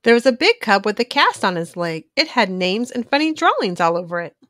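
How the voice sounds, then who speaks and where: A woman reading a children's storybook aloud in an expressive, animated narrating style for young listeners. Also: medium pitch, brisk, dry recording, clean studio sound.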